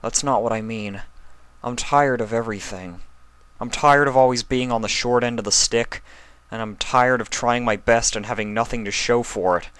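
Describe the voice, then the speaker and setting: A man's voice: medium pitch, steady tone, normal speed, a bit bright, clean tone, no room sound, voice pushed up, lightly acted. A man voicing a hurt young male pony in a story reading, earnest and emphatic. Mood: weary.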